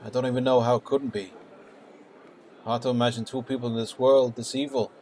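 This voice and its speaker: A grim police detective, acted by a man in a radio play. His voice sits at a middle pitch and sounds world-weary, with a faint hum and noise behind it. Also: level tone, brisk, a little mellow, lightly acted. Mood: grim, urgent. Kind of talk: radio drama dialogue. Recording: clear hum and background noise.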